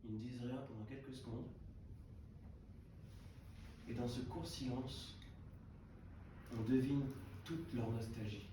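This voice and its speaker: A man of middle pitch reads a literary text aloud to an audience in a hotel salon, taking his time and sometimes stumbling and restarting a phrase. He sits well away from the microphone, so his voice rings through a strongly reverberant room. A steady layer of background noise runs underneath. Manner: unhurried reading with frequent hesitations and restarts. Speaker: reader at a book prize reading. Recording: echoey room, distant mic, background noise.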